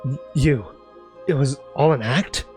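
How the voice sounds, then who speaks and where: Slow-talking man reading over game music. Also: medium pitch, a little mellow. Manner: playful, theatrical reading with off-the-cuff asides. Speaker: let's play host. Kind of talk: let's play commentary, reading game dialogue aloud.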